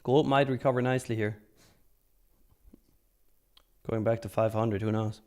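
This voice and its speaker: A man's voice: lowish voice, steady tone, fast, darkish tone, smooth. Informal man hosting a trading livestream. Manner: informal, joking, swears freely. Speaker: live stream host, futures trader. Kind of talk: casual live trading commentary, reading out and answering chat.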